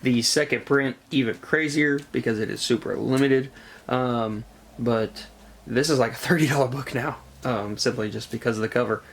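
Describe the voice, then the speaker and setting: Congested, gravelly low-voiced man. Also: moderate pace, fairly bright tone, slight background noise. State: congested from a cold. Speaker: comic book collector presenting a haul. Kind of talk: casual show-and-tell vlog chat.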